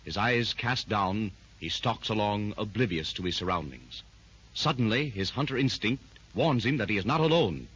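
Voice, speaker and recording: A man's voice: fairly low pitch, expressive, moderate pace, fairly bright tone, breathy edge. A man narrating a 1930s radio adventure serial in a dramatic, emphatic style. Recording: dull old radio recording.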